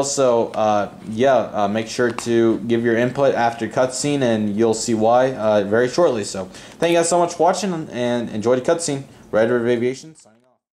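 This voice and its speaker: A man's voice: mid-range voice, a little mellow. A man hosting a hobby video channel, talking in a casual, earnest, rambling unscripted style. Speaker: host of a model airport video channel. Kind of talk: casual unscripted vlog voice-over.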